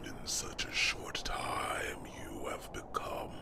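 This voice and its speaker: A man voicing an ancient, wise male dragon who protectively mentors the listener, speaking in a slow, solemn whisper for a fantasy roleplay. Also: lightly acted.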